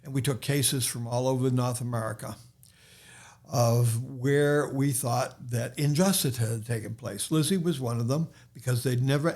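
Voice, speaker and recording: Brief: man, Boston accent, unhurried and halting, podcast guest storytelling. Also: mid-range voice, creaky, a little mellow. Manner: slow, halting, many restarts and fillers. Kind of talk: casual podcast conversation.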